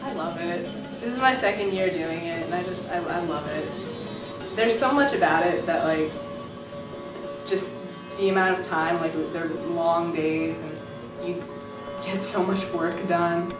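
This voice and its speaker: Young female student, low-pitched, eager and chatty; roomy, distant mic with music under. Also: a little slow. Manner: enthusiastic, chatty with many fillers. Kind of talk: interview, casual reflection. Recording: echoey room from far off, music behind.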